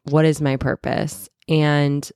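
Stuffy, slightly raspy woman in her thirties. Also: low-pitched, level tone, slow pace, warm tone, no echo, clean studio sound. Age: 30s. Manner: chatty, many "like"s and self-corrections. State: stuffy and a little raspy from a cold. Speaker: podcast host and wellness coach. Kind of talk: casual solo podcast chat.

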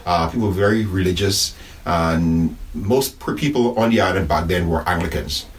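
A man with a lowish voice recalls his past in a thoughtful, unhurried way, pausing to find his words. He sits a little back from the microphone in a church office, with a faint hiss behind him. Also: distant mic. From Bahamas. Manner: measured, thoughtful, with pauses and restarts. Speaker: Anglican priest, interview guest. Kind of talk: reflective conversational interview.

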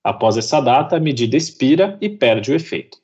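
A man's voice: mid-range voice, sing-song, a little fast, a little mellow, muffled recording. A man hosting a corporate podcast, reading a formal introduction and welcoming his guest. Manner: formal and welcoming, scripted lead-ins. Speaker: podcast host.

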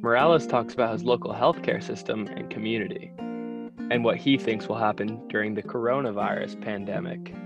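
A man presenting a radio segment, with a calm, scripted introduction and sign-off. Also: lowish voice, moderate pace, gravelly, lo-fi sound. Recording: voice over loud guitar music.

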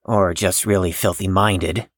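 A woman's voice: extremely low pitch, fairly even intonation, unhurried, no echo, studio quality. A woman playing a prim, wordy, anxious patient who speaks formally and precisely in an audio drama. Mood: dryly critical.

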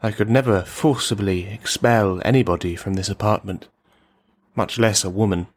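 A man playing a lonely, menacing misogynist in a radio play monologue, speaking in formal, mannered, halting phrases. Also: lowish voice, natural voice. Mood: defensive.

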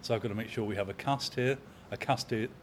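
A man in his sixties, an opera company manager, talking in a measured, anecdotal way in an interview. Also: on the low side, slight background noise. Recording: crowd chatter in background.

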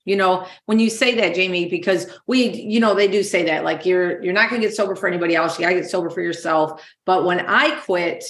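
A woman's voice: fairly low pitch, a little fast, few pauses, fairly bright tone. A woman hosting a podcast, talking conversationally about personal experience, recorded with a roomy echo. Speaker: podcast host. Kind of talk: conversational interview, sharing own experience. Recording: roomy, echoing sound.

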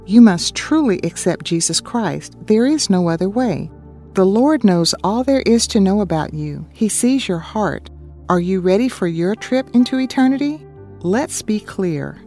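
A woman co-hosting a radio Bible study, calmly citing and reading scripture verses. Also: medium pitch. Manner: calm, deliberate citing of verses.

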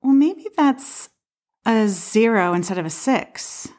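An actress playing a skeptical but helpful apartment dweller in an audio play, speaking in a hesitant, conversational way. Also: female voice, a little high, animated, slow pace, a little mellow.

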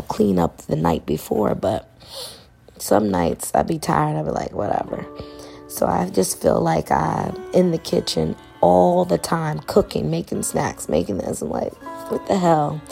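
Podcast host, female, hoarse, loose rambling delivery, very gravelly, faint music under. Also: deep voice. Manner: rambling, relaxed, colloquial. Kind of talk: casual solo podcast chat.

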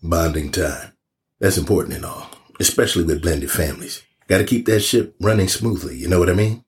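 A man's voice: low-pitched, close to an everyday voice. A man playing a middle-aged small-town police chief in a horror audio drama, with a folksy, probing manner. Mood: chummy. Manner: folksy, unhurried questioning.

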